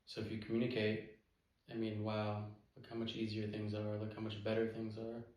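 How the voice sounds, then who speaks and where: Even-toned man in a very echoey room. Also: fairly low pitch, a little fast. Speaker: co-host of a couple's relationship channel. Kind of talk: casual conversation with partner.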